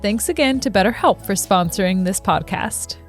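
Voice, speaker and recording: A woman's voice: mid-range voice, animated, moderate pace, faint music behind. Woman reading a sponsor spot. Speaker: ad reader. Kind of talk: scripted sponsor read.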